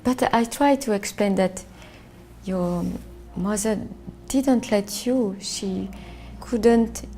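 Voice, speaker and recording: A middle-aged woman from France with a French accent, speaking English as a second language in a reflective interview, halting and searching for words. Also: medium pitch, mellow, faint music behind. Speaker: documentary interviewee.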